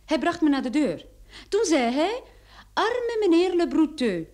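An actress playing a clever, self-assured private detective in a radio play, pert and precise. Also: female voice, extremely high pitch, sing-song, a little slow, a little mellow, heavily acted. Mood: polite, confiding. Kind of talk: old radio play acting.

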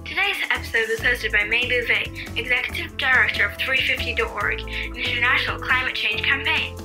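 A woman from China reads scripted podcast credits. Her voice is fairly high, and music plays clearly behind her. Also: fairly quick. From Shanghai, China. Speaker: credits reader.

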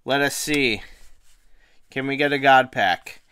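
Slightly hoarse man chatting casually. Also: medium pitch, slow pace, bright, forceful tone. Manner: chatty, restarts phrases, laughs often. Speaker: card-opening channel host. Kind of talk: casual unboxing chat. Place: indoors at a table, hands on camera.

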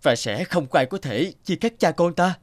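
An actor playing a gentle, grieving young father from a village in a cartoon, earnest and pleading. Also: fairly high pitch, lively intonation, normal speed, lightly acted. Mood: resolved. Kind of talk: cartoon dub acting. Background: background music.

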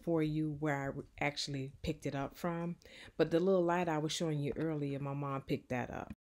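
Woman in her 50s doing a cleaning vlog voiceover, lowish voice, talks to her viewers like friends. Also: steady tone, moderate pace, a little mellow. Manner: chatty, affectionate, addresses viewers as y'all. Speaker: cleaning vlogger. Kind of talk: casual voice-over narration.

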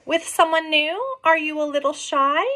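A middle-aged woman reading a picture book aloud to young children, expressive and questioning, explaining things simply as she goes. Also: high voice, normal speed. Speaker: read-along storyteller for young children. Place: small room at home.

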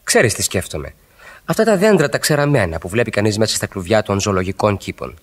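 A man's voice: lowish voice, sing-song. An actor playing a restless, eloquent romantic husband in his thirties in a 1960s radio play, with rhetorical, emphatic phrasing and repeated words. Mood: wry, wistful.

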